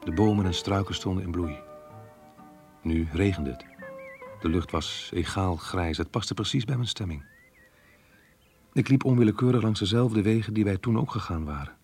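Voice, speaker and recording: An actor playing a melancholy married man reading his diary in a radio drama, earnest, reflective and measured. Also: low voice, expressive, a little mellow, faint music behind. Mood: melancholy, wistful. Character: married man, melancholy diarist torn by guilt over lost love.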